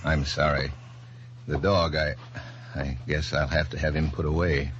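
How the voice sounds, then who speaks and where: A man playing a somber, compassionate blind police captain in measured, subdued 1940s radio drama style. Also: rumbling low, flat delivery, a bit bright, a little hiss or hum. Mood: somber, reflective. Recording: dull, faintly humming old radio recording.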